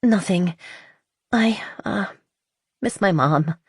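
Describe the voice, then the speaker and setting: A woman voicing an anxious, evasive young romantic heroine in an audiobook, halting and pleading. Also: fairly low pitch, darkish tone, husky, no echo, studio quality, pitched above the performer's own voice, heavily acted. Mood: flustered.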